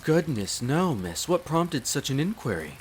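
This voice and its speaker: A man voicing a courteous, devoted butler in an audio roleplay, speaking in a formal, polite and measured way straight to the listener. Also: a little high, rough recording. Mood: composed, sincere. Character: courteous, devoted demi-human butler in a vampire's castle. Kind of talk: scripted roleplay addressed to the listener. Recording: rain noise behind the voice. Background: steady rain of a storm.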